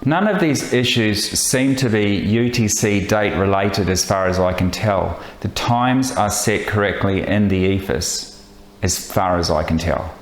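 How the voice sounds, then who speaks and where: A man narrating a vlog as a pilot, explaining technical details in a measured, methodical way. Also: lowish voice, echoey room, rough recording. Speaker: pilot and host of flying vlog.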